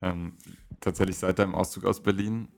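A man's voice: deep voice, level tone, fairly quick, creaky. A young man co-hosting a podcast, chatting casually and rambling with many filler words. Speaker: podcast co-host. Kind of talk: casual chat.

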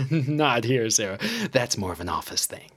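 An actor playing a chummy, self-important high school teacher, an American man with a casual, hesitant way of talking, in a naturalistic audio drama. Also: lively intonation, unhurried, slightly rough. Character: chummy, vain male high school teacher, former homecoming king.

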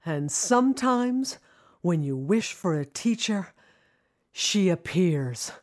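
A woman narrating a scripted first-person audio drama in an expressive, reflective storytelling manner. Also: fairly low pitch, slow pace. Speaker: narrator, singing student.

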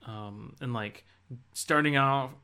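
A man's voice: medium pitch, slow, gravelly, pushed and bright, clear, resonant tone. A man co-hosting a casual video game podcast, laid-back and a little hesitant as he thinks aloud. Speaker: podcast co-host.

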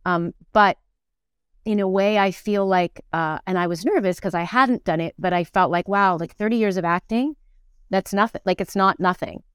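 A woman's voice: mid-range voice, normal speed, clean tone, no echo, clean studio sound. A middle-aged woman talking casually and reflectively as an interview guest, searching for words and often checking in with "right?". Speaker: guest, actor, director and acting teacher.

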